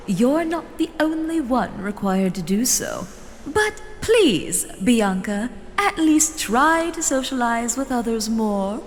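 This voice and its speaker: A woman voicing a gracious but chiding vampire elder in a fantasy audio drama, deliberate and theatrical. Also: fairly high pitch. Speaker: voice actor. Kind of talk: scripted fantasy character dialogue. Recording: long reverb, faint background noise.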